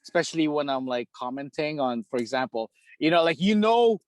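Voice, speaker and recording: Guest, male, from the Philippines, slightly high voice, loose rambling talker, dry close mic. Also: expressive, a little fast, no echo. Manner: rambling, many fillers like "dude" and "you know". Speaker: guest, hockey equipment repair shop owner. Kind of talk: casual podcast chat.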